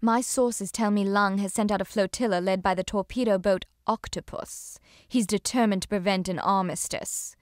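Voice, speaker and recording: A woman playing a poised, earnest noblewoman in a video game, speaking in a composed, measured and refined way. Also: mid-range voice, steady tone, no room sound, studio quality, natural voice. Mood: confiding, grave. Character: poised, earnest noblewoman who briefs a naval commander. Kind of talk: dramatic game cutscene acting.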